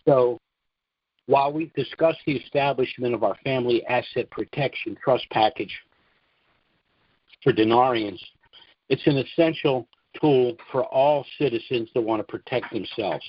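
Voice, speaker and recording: Male American call host, measured halting monologue, dry and slightly lo-fi sound. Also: mid-range voice, no echo. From United States. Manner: deliberate, halting, frequent throat-clearing. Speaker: conference call host promoting trust services. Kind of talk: informal monologue on a conference call. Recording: dull, dry conference call audio.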